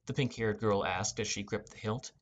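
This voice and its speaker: Low-voiced man narrating a fantasy audiobook. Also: fairly even intonation, moderate pace. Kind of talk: scripted first-person fantasy narration.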